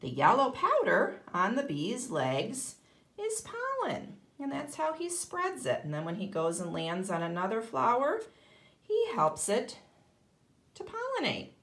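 A woman's voice: on the high side, huge pitch swings, measured pace, fairly bright tone, reverberant. A woman teaching kindergarten, reading a children's book aloud expressively and explaining it to young listeners. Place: indoor room, at a table.

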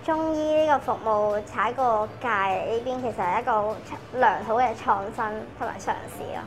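A woman, a patient speaking softly and reflectively about her experience. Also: fairly high pitch, normal speed, soft background music, low-quality recording. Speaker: patient in a support program. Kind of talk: personal testimony.

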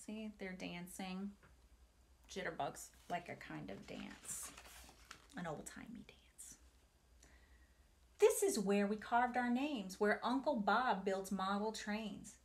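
A woman in her forties reading a picture book aloud to children in an expressive, deliberate way, with asides to her young listeners. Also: mid-range voice. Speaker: storytime reader for a museum family programme. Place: small home room, indoors.

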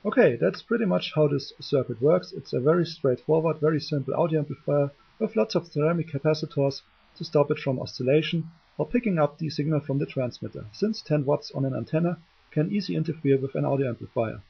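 A man's voice: a little high, fairly quick, creaky, breathy edge, lo-fi sound. A man giving a technical tutorial explanation as a hobbyist presenter.

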